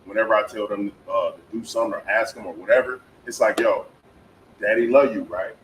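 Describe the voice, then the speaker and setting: A man's voice: lowish voice, fairly quick, a bit bright. A man in a casual group discussion who speaks in an emphatic, earnest way. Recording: roomy, distant mic with faint noise.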